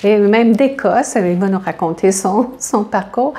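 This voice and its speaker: A woman's voice: medium pitch, unhurried, mellow. A woman from Quebec with a Québécois accent, hosting an interview show and encouraging her guest with short questions. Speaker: talk show host. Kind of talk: conversational interview.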